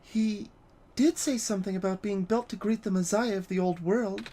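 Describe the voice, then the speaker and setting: A woman's voice: medium pitch, fairly even intonation, normal speed, mellow, slight background noise, close to an everyday voice. A woman voicing a curious young video game protagonist with a tentative, puzzled way of talking. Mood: sheepish. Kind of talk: voiced reading of video game dialogue.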